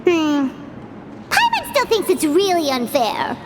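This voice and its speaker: A woman voicing a small, excitable fairy companion who talks about herself in the third person. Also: sits high, slow pace, exaggerated voice. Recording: game audio with loud music.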